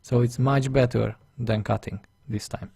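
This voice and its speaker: A man's voice: mid-range voice, fairly even intonation, measured pace, gravelly, mellow, breathy edge, dry recording, clean studio sound. A man with a Hungarian accent, a non-native English speaker, narrating a drawing tutorial over his screen, thinking aloud step by step in a chatty, self-deprecating way. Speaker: illustration instructor.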